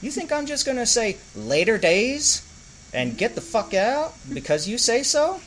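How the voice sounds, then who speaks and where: A man voicing a small, foul-mouthed, furious male squirrel who leads a mob and shouts threats. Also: very high-pitched, big pitch swings, a little slow, slight background noise, voice pushed up, exaggerated voice, low-quality recording. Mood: defiant.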